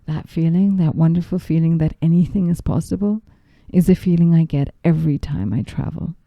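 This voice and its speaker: Low, gravelly woman host, very dark tone. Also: fairly quick, no room sound, dull audio. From Zambia. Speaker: podcast host. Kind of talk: scripted narration.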